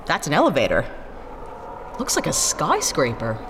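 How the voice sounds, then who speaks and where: A woman's voice: low voice, wildly swooping pitch, moderate pace. A woman playing a tough, impatient, teasing adventurer with a blunt, mocking manner in a sci-fi audio drama. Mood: matter-of-fact. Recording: long reverb, clearly audible background noise.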